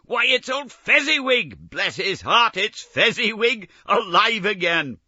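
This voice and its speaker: A man's voice: mid-range voice, expressive, a little slow, sharp tone, breathy edge, no echo, lo-fi sound, pitched above the performer's own voice, exaggerated voice. A man reading an audiobook, voicing an elderly male miser who exclaims with strong feeling. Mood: excited. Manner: exclaiming, emotional.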